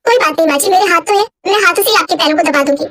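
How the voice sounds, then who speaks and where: A woman's voice: extremely high pitch, rapid-fire. A woman voicing a humble, dutiful, poor daughter-in-law with an earnest manner in a cartoon story. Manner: meek, earnest. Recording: clear background noise.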